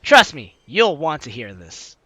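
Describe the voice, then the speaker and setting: A woman's voice: low-pitched, very animated, a little slow, a bit bright, no room sound. A woman doing a playful, slangy let's play, reading dialogue aloud and commenting casually. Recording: faint music behind the voice.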